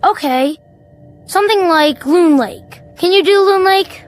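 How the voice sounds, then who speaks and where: Boy: blunt, boyish, skeptical, high-pitched, over music. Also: unhurried. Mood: keen. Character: eager, skeptical young boy who loves games. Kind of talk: radio drama acting.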